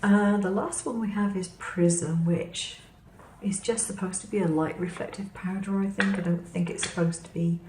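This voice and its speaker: A slightly hoarse woman talks through her craft materials in a relaxed, chatty way, taking her time over each one. Her voice sits a little low. The recording is roomy, with a faint hum behind her. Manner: unhurried, chatty explaining while handling materials. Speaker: craft vlogger. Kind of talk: casual product demonstration. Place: indoors at a crafting table.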